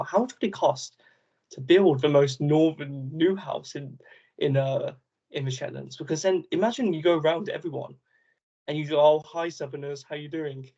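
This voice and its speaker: Gravelly, husky-voiced man rambling. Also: fairly high pitch, fairly quick, muffled recording. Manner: rambling, animated, many restarts. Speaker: guest. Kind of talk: casual chat.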